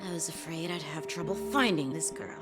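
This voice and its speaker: A woman's voice: sits low, over music, close to an everyday voice. A woman playing an earnest, hot-headed teenage boy swordsman who speaks formally and gets indignant, in a game cutscene. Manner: indignant, quick to anger, formal.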